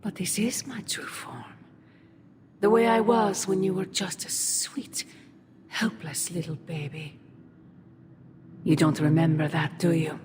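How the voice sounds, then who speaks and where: A woman playing a sinister demonic mother in a game cutscene, possessive and tender, with breathy, theatrical delivery. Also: lowish voice, level tone, measured pace, pauses often, background noise, close to an everyday voice. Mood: menacing tenderness. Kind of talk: dramatic game cutscene acting. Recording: music behind the voice.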